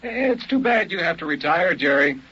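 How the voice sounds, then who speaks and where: An excitable, restless hunter from a science fiction radio play. His voice sits fairly high and swings widely. The sound is a dull vintage radio recording. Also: moderate pace, slightly rough, heavily acted. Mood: keyed up. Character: eager, high-strung licensed hunter in future society. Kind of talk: old-time radio drama acting.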